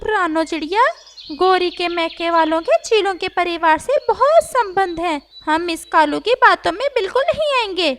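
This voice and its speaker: Woman voicing a very high-pitched bossy male cartoon parrot. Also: lively intonation, normal speed. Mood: sarcastic, annoyed. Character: excitable, bossy, sarcastic male talking parrot. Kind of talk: children's cartoon voice acting.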